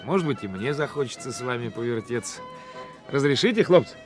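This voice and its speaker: A man's voice: mid-range voice, lively intonation, a little fast, faint music behind, lightly acted. An actor playing a proud, swaggering village guardsman with a taunting manner in a radio play. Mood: genial, taunting. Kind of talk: Soviet radio play acting.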